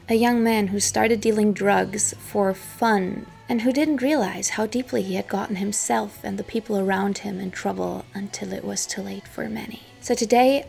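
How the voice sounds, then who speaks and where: Gravelly-voiced woman narrating a dark story. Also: mid-range voice. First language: not English. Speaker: history channel host.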